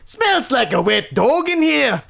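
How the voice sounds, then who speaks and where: A blustering old wolf chieftain with a Scottish lilt, acted out by a story reader. He is stern yet fatherly, barking orders in a raised pitch with big swoops. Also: sing-song, a little slow, creaky, fairly bright tone, full character voice. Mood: gruff concern. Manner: commanding, blustering. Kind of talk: amateur character voice in story read-aloud.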